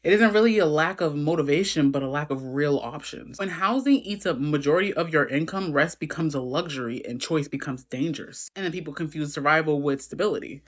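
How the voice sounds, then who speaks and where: A speaker giving steady, reflective commentary. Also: medium pitch, brisk, hardly any pauses.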